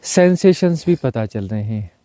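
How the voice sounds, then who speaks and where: A man leading a guided meditation as a teacher, speaking in unhurried, short, repeated phrases and mixing in some English words. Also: medium pitch, expressive, mellow, no room sound. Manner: mixes English words into Hindi.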